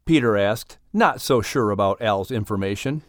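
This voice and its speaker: A man's voice: lowish voice, animated, moderate pace, dry recording, studio quality. A man narrating a scripted children's audiobook as the storyteller. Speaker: narrator and announcer.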